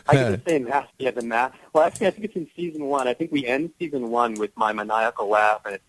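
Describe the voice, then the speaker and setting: A man's voice: medium pitch, quick pace, dry recording, rough recording. A man talking casually as an actor guest on a radio interview, rambling and repeating words as he searches.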